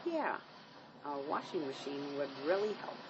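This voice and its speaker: A woman's voice: low-pitched, sing-song, unhurried, highs cut off, heavily acted. An actress playing a wistful, grieving woman on the run in a drama, with a plaintive delivery. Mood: weary, wry. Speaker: actor. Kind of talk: dramatic dialogue reading. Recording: clear background noise.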